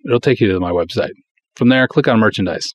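A man's voice: on the low side, fast talker. A man hosting a podcast, chatting to listeners and promoting projects in a direct, informal style. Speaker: podcast host.

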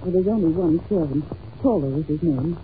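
A woman's voice: deep voice, fairly quick, lightly acted. Muffled radio with faint hiss, woman acting young governess, anxious, well-mannered, hesitant, earnest. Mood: earnest unease. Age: young adult. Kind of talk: old-time radio drama acting. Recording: muffled, hissy old-time radio recording.

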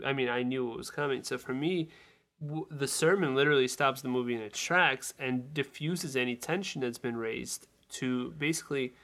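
Analytical man with a mid-pitched voice. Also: a bit bright. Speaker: podcast panelist. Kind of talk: casual film criticism.

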